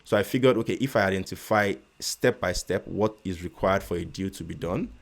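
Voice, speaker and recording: Very deep-voiced Nigerian man. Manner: measured, with frequent restarts and stutters. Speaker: guest, real estate investor. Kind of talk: casual interview conversation.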